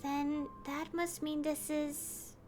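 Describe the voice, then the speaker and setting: A woman voicing a calm, thoughtful young traveler who speaks reflectively. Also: high voice, takes it slowly, soft background music. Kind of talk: fan voice-over of a game scene.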